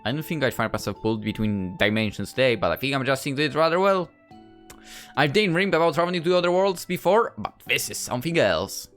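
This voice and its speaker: A low, measured young man read by a woman, polite and a little dry. He sounds like a puzzled visual novel protagonist taking in a strange world, with faint music behind. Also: lively intonation. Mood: puzzled, reserved. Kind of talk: first-person game dialogue reading.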